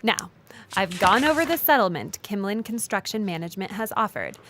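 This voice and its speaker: A woman playing an earnest, absurdly literal lawyer, formal and deadpan, in an audio comedy sketch. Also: mid-range voice, fairly quick, slight background noise. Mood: formal, sympathetic.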